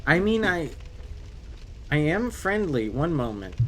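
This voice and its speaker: A man's voice: a little high, very animated, takes it slowly, fairly bright tone, a little hiss or hum. A man whose first language is French, streaming a game live and chatting casually with viewers, breaking into swearing and sudden exclamations. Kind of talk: casual live gameplay commentary.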